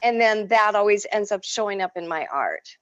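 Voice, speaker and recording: A woman whose first language is French, an artist telling stories in an effusive, expressive way as an interview guest. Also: mid-range voice, moderate pace, no room sound, lo-fi sound. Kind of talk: casual interview.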